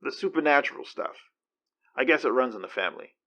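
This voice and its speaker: Curious halting sidekick in a horror podcast, forward bright voice, bit muffled. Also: medium pitch, sing-song, vocal fry, heavily acted. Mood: curious, hesitant. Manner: hesitant, halting. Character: curious, hesitant companion angling to learn supernatural craft. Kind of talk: conversational audio drama dialogue.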